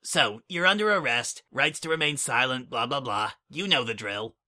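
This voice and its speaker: A man's voice: mid-range voice, expressive, normal speed, pushed and bright. A man voicing a brash, smug female pony spy in a fan audiobook reading, speaking in a flippant, mocking way.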